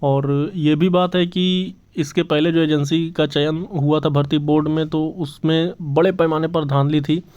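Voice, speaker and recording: A man's voice: a little high, darkish tone, smooth. A man hosting his channel's job exam news update, talking earnestly and repeating himself with many fillers. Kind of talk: casual news update to camera. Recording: slightly dull lo-fi audio.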